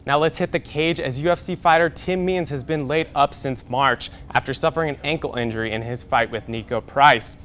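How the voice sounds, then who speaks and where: A man's voice: medium pitch, brisk, hardly any pauses, a bit bright, a little hiss or hum. A young man anchoring a scripted TV sports newscast. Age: young adult.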